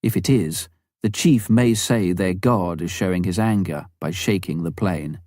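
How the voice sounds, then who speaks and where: A man's voice: deep voice, a little slow, a little mellow, no room sound, clean studio sound. A man voicing an earnest, prayerful bush pilot in a storybook narration. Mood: anxious. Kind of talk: storybook character quote in narration.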